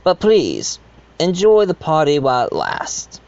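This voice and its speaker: A man's voice: on the high side, huge pitch swings, a little mellow, lo-fi sound, heavily acted. A man playing a genial but scheming wealthy host in an amateur murder-mystery audio drama, speaking formally and courteously. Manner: formal, deliberate, addresses guests courteously. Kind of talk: amateur audio drama acting, part narration.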